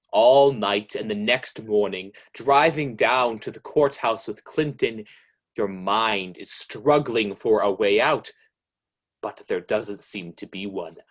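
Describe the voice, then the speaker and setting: A man playing an ominous, all-knowing narrator of a radio mystery, speaking in a deliberate, insinuating way as he taunts a guilty woman. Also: on the low side.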